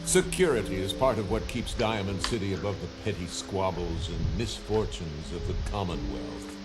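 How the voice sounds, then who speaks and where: An actor playing a pompous, evasive middle-aged city mayor in a video game, orating like a politician. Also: male voice, low voice, expressive, moderate pace, over loud music. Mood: firm, formal.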